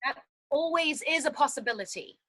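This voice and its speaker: A woman's voice: sits high, lively intonation, a little fast, sharp tone. An actress playing an anxious but determined woman on the run in a stage drama, speaking in a theatrical, insistent way. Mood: wary. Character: anxious but determined woman fleeing a flooded underground shelter. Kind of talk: dramatic stage-play dialogue.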